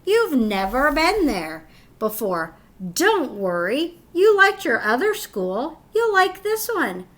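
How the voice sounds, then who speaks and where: A woman's voice: high voice, sing-song, takes it slowly, fairly bright tone, exaggerated voice. A woman voicing a patient but exasperated parent in a children's picture book, coaxing firmly and emphatically.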